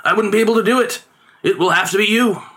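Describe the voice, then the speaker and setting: A man's voice: high-pitched, fast talker, sharp tone, voice pushed up. A man voicing an aging street conjurer and father in a storybook tale, melodramatic, wily and given to pleading. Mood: boastful, urging. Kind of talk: storybook character voice.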